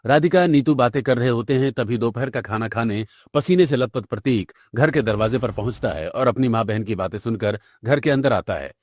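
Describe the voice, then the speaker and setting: A man's voice: mid-range voice, a little fast, few pauses, no echo, studio quality. A man narrating a cartoon story in a scripted storytelling style.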